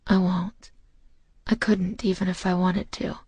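A man voicing a young woman in love in a romance audiobook, soft, breathy, tender and yearning. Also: high-pitched, flat delivery, unhurried, no room sound.

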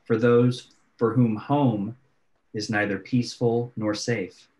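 A man who is an interpreter, voicing prayers in a measured, solemn way over a video call. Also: mid-range voice, flat delivery, darkish tone. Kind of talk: reading prayers aloud. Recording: dull lo-fi video call audio.

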